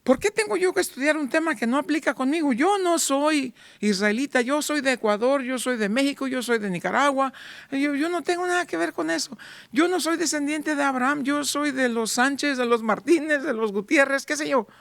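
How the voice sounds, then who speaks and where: High-voiced man preaching a sermon. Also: a little fast, creaky, a bit bright, no room sound. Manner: rhetorical questions, voicing doubting listeners. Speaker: pastor.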